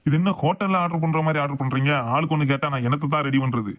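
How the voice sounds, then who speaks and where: A man's voice: a little high, rapid-fire, close to an everyday voice. A man voicing a boastful, grumbling husband and father in a comic cartoon. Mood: exasperated. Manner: blustering, complaining.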